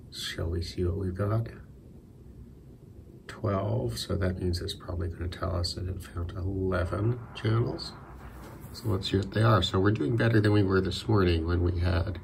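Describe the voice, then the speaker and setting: A man's voice: low voice, vocal fry, a little mellow, a little hiss or hum. A man giving a casual, unscripted radio hobby demonstration, thinking aloud a little hesitantly. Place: indoors in a concrete block building.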